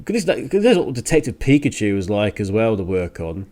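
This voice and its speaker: A man hosting a radio show, talking in an earnest, emphatic monologue with deliberate pauses and repeated phrases. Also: fairly low pitch, sing-song, darkish tone. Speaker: radio show and podcast host. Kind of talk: spoken opinion monologue on a music radio show.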